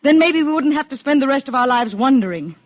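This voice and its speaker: A woman's voice: sits high, lively intonation, quick pace. An actress playing a bitter, defiant woman in a radio drama, speaking in a pointed, goading way. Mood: accusing, cutting. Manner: pointed, needling, probing. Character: bitter, goading, defiant sister of a condemned man. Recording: dull band-limited radio drama recording.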